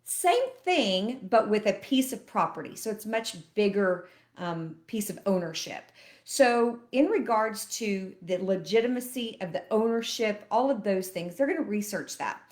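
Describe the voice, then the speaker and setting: A woman's voice: medium pitch, expressive, unhurried, darkish tone. A middle-aged woman, a loan officer chatting to camera and explaining things step by step, a bit sniffly from allergies. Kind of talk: casual educational talk to camera.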